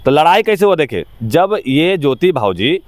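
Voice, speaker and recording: A man's voice: a little high, very animated, normal speed, dry recording. A man with a Bihari accent giving his opinions in an informal interview, speaking emphatically in colloquial, rustic phrasing. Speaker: interview guest, actor.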